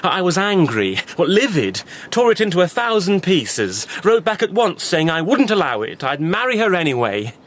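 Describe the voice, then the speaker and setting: A man plays a young Victorian suitor in a radio drama, earnest and flustered, his voice pitched a little high and swinging up and down as he stammers. He is hesitant and eager by turns. A faint low rumble sits beneath the recording. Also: sing-song. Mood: indignant. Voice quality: halting and eager, many hesitations, breaking into nervous laughs.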